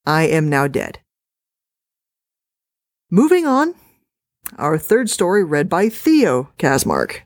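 A woman hosting a story podcast, making a wry, deadpan aside. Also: sits low, slow, pauses often, dry recording, clean studio sound. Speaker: podcast host. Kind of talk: casual aside.

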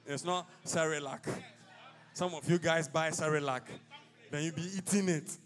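A man's voice: on the high side, fairly even intonation, moderate pace. A man with a Ghanaian accent, an apostle preaching a sermon in a church service, declaiming in rising bursts and dropping into casual asides.

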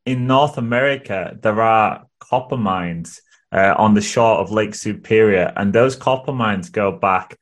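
A man's voice: lowish voice, a little slow. A man hosting a podcast, building a point steadily with questions. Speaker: podcast host. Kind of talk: casual podcast conversation.

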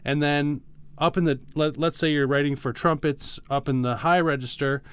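A man's voice: fairly high pitch, fairly even intonation, normal speed, clear, resonant tone, dry recording. A man co-hosting a podcast, explaining things step by step in a casual, informal way. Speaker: podcast co-host.

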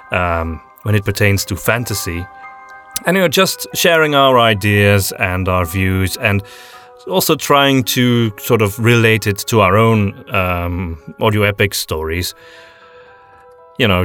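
A man co-hosting a podcast in casual conversation, reflective and often pausing to search for his words. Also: lowish voice, fairly bright tone. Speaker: podcast co-host.

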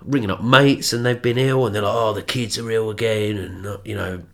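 A man's voice: steady tone, fairly quick, creaky. A man with a blocked, nasal voice from a cold, co-hosting a casual podcast in a sweary, mocking way. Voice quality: nasal, stuffy. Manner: sweary, mocking, jumps into high mimicking voices. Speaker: podcast co-host. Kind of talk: casual conspiratorial chat.

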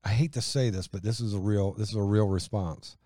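A middle-aged man with a booming voice, chatting enthusiastically about faith and reading Bible passages aloud. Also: fairly even intonation, a little fast, mellow, no room sound, studio quality. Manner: booming, enthusiastic, acts out quotes.

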